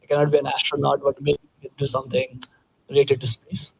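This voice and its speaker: A man's voice: medium pitch, monotone, fast, vocal fry, breathy edge, rough recording. A young man from Nepal, an engineer speaking as a panel guest, explaining casually with many fillers. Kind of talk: panel Q&A answers.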